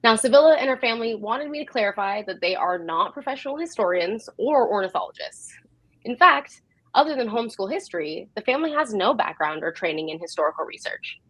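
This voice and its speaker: A woman hosts a live history program in a welcoming presenter's manner. Her pitch sits in the middle range for a woman, and she follows a scripted introduction. The sound is dry, with very little room in it. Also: expressive, a little fast, fairly bright tone, no echo.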